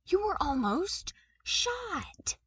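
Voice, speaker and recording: Woman playing a feisty young woman, sardonic, emphatic, raised pitch. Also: big pitch swings, drawn-out words, a bit bright, no room sound, full character voice. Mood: sassy.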